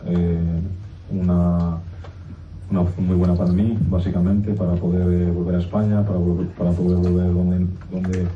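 A man in his thirties from Spain answers press questions in halting phrases. His voice is low and very flat. It sounds distant and roomy, like a phone line. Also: slight background noise. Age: 30s. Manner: halting, searching for words. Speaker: footballer.

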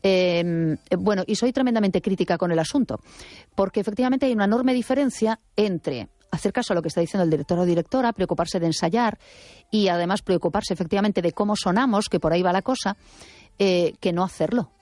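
A woman's voice: mid-range voice, a little fast, dark tone, no room sound. A woman hosting a radio talk, arguing her points firmly and correcting her caller. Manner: assertive, corrects and argues firmly.

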